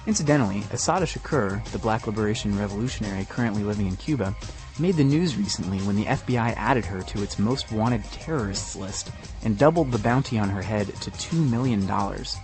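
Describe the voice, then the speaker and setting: A man's voice: lowish voice, expressive, a little fast, a little mellow, soft background music. A man co-hosting a podcast, reading scripted news. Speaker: podcast co-host.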